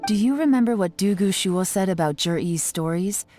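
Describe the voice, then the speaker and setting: An actress playing a poised, shrewd young woman investigator in a video game, measured and slightly theatrical, with courteous phrasing. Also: mid-range voice, animated, warm tone, faint music behind, lightly acted. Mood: shrewd, skeptical. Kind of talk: scripted video game voice acting.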